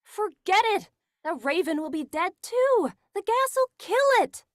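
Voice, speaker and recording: A woman's voice: very high-pitched, big pitch swings, takes it slowly, no room sound, clean studio sound, heavily acted. A woman playing a scheming, coaxing, greedy young wife in a theatrical 1940s-style radio horror play. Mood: brisk, scheming.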